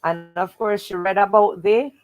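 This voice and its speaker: A woman's voice: medium pitch, fairly even intonation, normal speed, lo-fi sound, faint music behind. A woman hosting a children's read-aloud livestream, encouraging and fond of repeating stock welcome phrases. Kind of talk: livestream hosting, greeting viewers and recapping stories.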